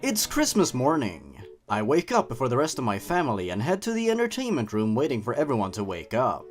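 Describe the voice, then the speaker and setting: A man's voice: mid-range voice, wildly swooping pitch, fairly quick. A man reading a story aloud and breaking in with sarcastic, mocking commentary as the host. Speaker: host reading and commenting on online horror stories.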